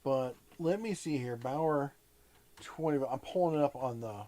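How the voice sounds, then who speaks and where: A man's voice: mid-range voice, measured pace, crowd noise. A man hosting a casual live chat, rambling with frequent restarts and plenty of enthusiasm. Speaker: host.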